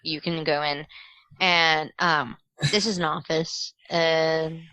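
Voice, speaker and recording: A young woman runs a tabletop game in a low but bright, forward voice. She describes scenes casually and haltingly, piecing them together as she goes, and the sound is close and dry. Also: fairly even intonation, dry recording, poor audio. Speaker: game master. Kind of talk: improvised tabletop game narration.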